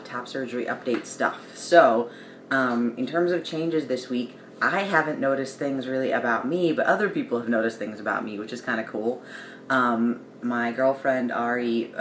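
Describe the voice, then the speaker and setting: Young man, casual vlog, rambling, mid pitch, roomy room echo. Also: a bit bright. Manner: rambling, many ums and restarts, light laughs. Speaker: vlogger. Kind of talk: casual video diary. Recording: roomy echo with faint background hiss.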